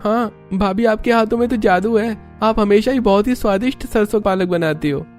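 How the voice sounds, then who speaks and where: A woman's voice: medium pitch, normal speed, a little mellow, soft background music, close to an everyday voice. A woman voicing an appreciative family member who speaks in compliments in a cartoon story. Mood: pleased.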